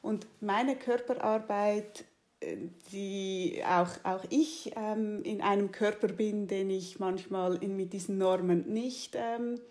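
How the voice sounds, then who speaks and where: A woman's voice: mid-range voice, fairly even intonation, slow. A woman hosting a conversation, thinking aloud with frequent restarts and fillers. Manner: hesitant, many restarts and fillers, warm enthusiasm; mixes in English phrases. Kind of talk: casual conversation.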